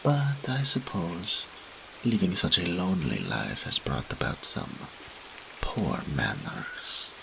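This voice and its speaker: A man playing a deep, creaky, smug incubus demon. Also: huge pitch swings, takes it slowly, background noise. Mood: mock offended. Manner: teasing, haughty, purring, theatrical drawl. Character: smug, seductive, theatrical male demon incubus. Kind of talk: scripted roleplay audio drama monologue.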